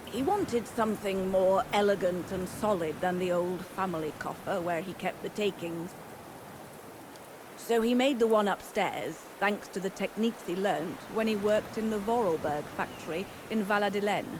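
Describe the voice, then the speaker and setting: Actress as a blunt, world-weary older innkeeper, noisy ambience. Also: female voice, mid-range voice, moderate pace, natural voice. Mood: matter-of-fact. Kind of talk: video game voice acting. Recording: clear background ambient noise.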